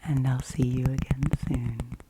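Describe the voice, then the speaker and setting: A woman hosting ASMR, speaking gently and soothingly, slipping often between soft speech and whispers. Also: a bass voice, level tone, very noisy. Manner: slow, gentle, soothing delivery with frequent whispering. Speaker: ASMR video host.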